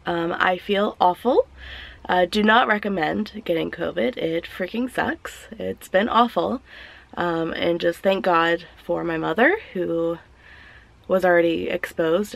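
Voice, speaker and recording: A woman vlogging casually while sick, her voice raspy and hoarse, tired and short of breath, with small laughs between sentences. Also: lowish voice. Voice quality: raspy, weak voice. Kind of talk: casual vlog chat.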